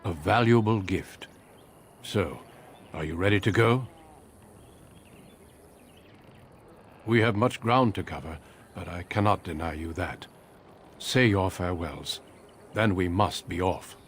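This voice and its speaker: A man playing a seasoned, steady warrior recruiter in a fantasy game, speaking in a measured, formal and courteous manner. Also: low voice, slight background noise. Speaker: Grey Warden recruiter. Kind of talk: video game cutscene acting.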